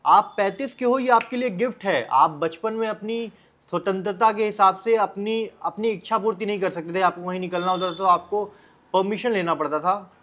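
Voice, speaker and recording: An Indian man with a notably high-pitched voice gives informal advice as a vlogger. He speaks bluntly and directly to the listener, often repeating phrases and posing rhetorical questions. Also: moderate pace, a bit bright. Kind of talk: informal motivational advice talk to the viewer.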